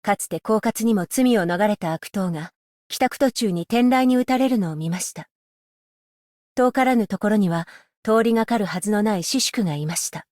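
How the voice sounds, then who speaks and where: A voice actress playing a stern, dutiful female magistrate-scholar, speaking in a formal, measured and politely stern way for a game's voice lines. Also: mid-range voice, darkish tone, dry recording, studio quality, lightly acted. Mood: wry. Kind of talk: game character voice lines. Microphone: close studio mic, dry.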